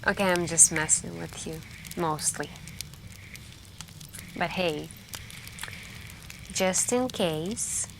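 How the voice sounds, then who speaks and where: A woman doing soft-spoken ASMR storytelling roleplay as a girlfriend by a campfire, hushed and intimate, with long pauses and some whispering. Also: lowish voice, vocal fry. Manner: hushed, drawn-out, pausing, intimate, with stretches of whispering. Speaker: roleplay storyteller playing a girlfriend on a camping trip. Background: campfire crackling and night ambience.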